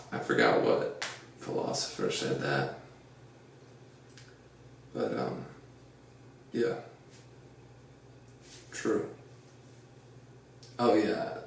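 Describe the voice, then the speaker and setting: A man hosting a casual podcast, with a deep, gravelly voice, rambling through an earnest pep talk full of fillers. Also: distant mic. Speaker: podcast host. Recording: echoey room, off-mic, faint noise.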